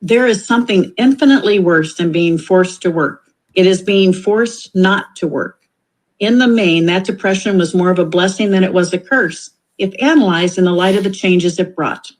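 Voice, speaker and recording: A woman reading scripted audiobook commentary in a deliberate, reflective way. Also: fairly low pitch, a little mellow, rough recording.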